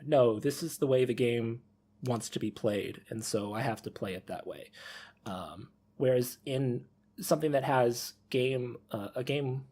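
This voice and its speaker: Guy hosting a podcast, kinda rough voice, rambles and rewords stuff as he goes. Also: mid-range voice, darkish tone. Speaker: podcast host. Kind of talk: casual podcast discussion.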